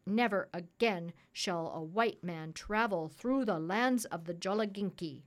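A woman's voice: fairly low pitch, a little slow, close to an everyday voice. Woman playing a stern, commanding storybook king. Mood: stern, aggrieved. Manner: commanding, declamatory. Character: stern, imperious African king, adult man.

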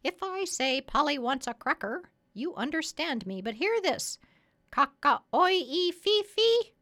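High, squawky, bossy storybook parrot. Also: female voice, big pitch swings, a little slow, fairly bright tone, full character voice. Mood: proud. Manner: emphatic, instructive, sure of herself. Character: bossy, proud, clever old female parrot.

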